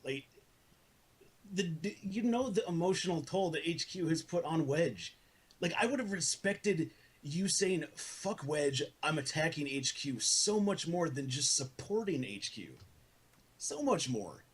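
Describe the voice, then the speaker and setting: Male, husky voice, earnest and profane, for a heated informal argument. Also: on the high side, lively intonation, unhurried.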